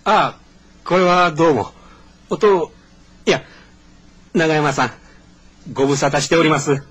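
A man's voice: fairly high pitch, a little slow, a bit bright, breathy edge. An actor playing an awkward, courteous male schoolteacher with a Kansai accent in a radio drama, hesitant and apologetic. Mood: awkward, polite.

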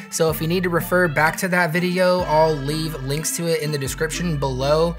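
Guy in his 30s doing a gear tutorial at home, friendly, explains step by step, wireless clip mic. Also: a little high. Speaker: host of a filmmaking gear channel. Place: home living room, indoors. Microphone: clip-on wireless mics into the camera.

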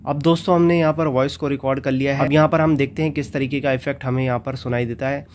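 A man hosts a casual phone tutorial in a medium-pitched voice. He walks viewers through things step by step and keeps addressing them as friends. There is faint noise and room ambience behind him. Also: fast. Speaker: tech tutorial host. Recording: steady background noise and ambience.

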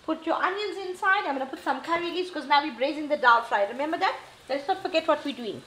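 Middle-aged south african indian lady talking thru her cooking, kinda high voice, echoey kitchen w sizzling pan. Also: animated, fast, pushed and bright. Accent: South African Indian English. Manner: chatty, reassuring, talks while cooking. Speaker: cooking show host. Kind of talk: casual cooking demonstration. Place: home kitchen, indoors. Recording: echoey kitchen with frying noise.

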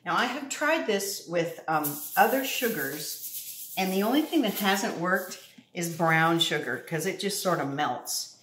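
A woman's voice: on the low side, lively intonation, measured pace, a bit bright. A woman in her fifties hosting a casual cooking demo in her home kitchen, talking upbeat and straight to viewers. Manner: chatty, upbeat, addresses viewers directly. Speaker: cooking show host. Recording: echoey kitchen, faint noise.

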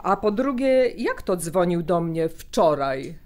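An actress playing an opinionated, disapproving sister in a naturalistic audio drama, blunt, questioning and emphatic. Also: female voice, lowish voice, very animated, slow, a little mellow. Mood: probing, exasperated. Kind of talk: naturalistic audio drama acting, phone conversation.

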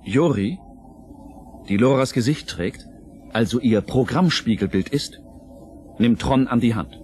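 A man narrating an audio play in a scripted, dramatic style, measured and suspenseful. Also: medium pitch, lively intonation, a little mellow, slight background noise. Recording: narration over clear music.

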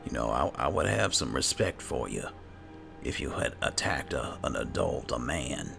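Casting: male gamer, extremely deep and heavily creaky voice, swooping intonation, sardonic in-character roleplay commentary over a video game. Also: sing-song, normal speed, bright, forceful tone, soft background music.

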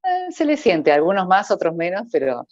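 A woman's voice: on the low side, wildly swooping pitch, moderate pace, no room sound. A woman in her forties from Córdoba, Argentina, with a Cordobés accent, talking as a voice-over artist guest in a casual video-call interview, laughing often. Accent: Cordobés Argentine Spanish. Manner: laughs often, warm sing-song lilt. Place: indoor room at home. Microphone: earphone headset on video call. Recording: dull, dry video-call audio.

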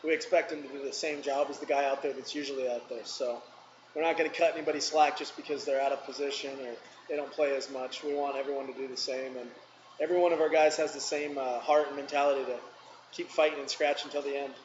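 A man's voice: mid-range voice, fairly even intonation, quick pace. A man in his thirties, a baseball team manager, answering press questions in a plainspoken, matter-of-fact way at a table mic. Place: press conference room, seated at table. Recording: echoey room, dull noisy sound.

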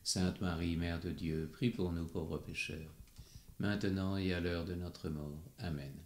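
A man with a very deep voice recites rosary responses in an even, measured way. The sound carries the echo of a reverberant room. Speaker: prayer responder. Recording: echoey room.

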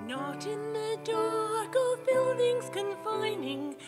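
A woman's voice: very high-pitched, animated. An older woman singing a hymn solo at a stand mic in a wood-panelled church. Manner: slow, sustained singing from a hymn sheet. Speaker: church singer. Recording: loud music accompaniment.

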